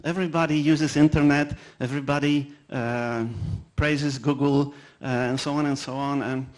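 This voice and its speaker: A man with a Polish accent, a native Polish speaker, giving a conference talk in a halting way, with many fillers and restarts and self-deprecating anecdotes. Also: fairly high pitch, steady tone, a little mellow. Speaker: conference presenter.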